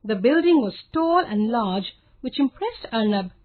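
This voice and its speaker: High, husky woman storyteller, phone-like sound. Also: lively intonation, measured pace. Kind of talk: scripted children's story narration.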